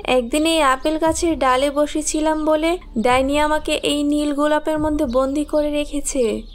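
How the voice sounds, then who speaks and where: A woman voicing a gentle, earnest fairy held captive in an animated fairy tale, with drawn-out, cautioning speech. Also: high-pitched, natural voice. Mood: earnest warning. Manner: slow, drawn-out, earnest warnings. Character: gentle imprisoned blue fairy, earnest helper.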